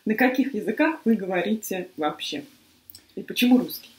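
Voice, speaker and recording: A woman teacher interviews her former student, asking her questions at a calm, measured pace. Her voice sits in the middle of her range. The room around her has a noticeable echo. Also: mid-range voice. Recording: echoey room.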